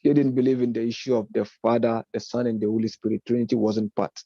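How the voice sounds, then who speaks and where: Man arguing a point in a dark voice. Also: medium pitch, flat delivery, no room sound, muffled recording. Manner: argumentative, self-correcting, measured delivery. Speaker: speaker on politics and religion. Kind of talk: unscripted lecture-style commentary.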